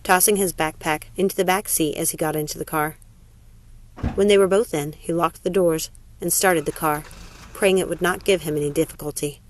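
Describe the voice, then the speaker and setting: A woman's voice: sits low, steady tone, moderate pace. A woman narrating a novel aloud in scripted audiobook style. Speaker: narrator, story reader.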